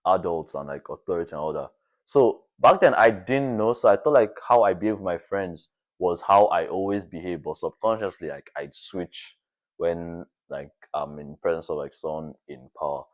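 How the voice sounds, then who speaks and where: A young man chatting casually as a podcast guest, thinking aloud in a halting, anecdotal way. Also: low voice. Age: young adult. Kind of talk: casual chat.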